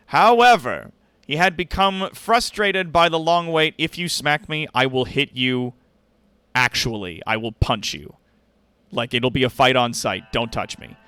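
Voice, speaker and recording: A man's voice: a little high, sharp tone, smooth, dry recording. A man hosting a livestream, giving a dramatic reading of fan fiction with wry, sweary asides to viewers. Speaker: livestream host.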